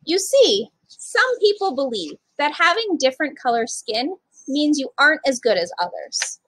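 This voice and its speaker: A woman's voice: high-pitched, very animated, normal speed, fairly bright tone, no room sound, rough recording. A woman reading aloud to young children as a storytime reader, speaking to them directly in simple, child-directed phrasing.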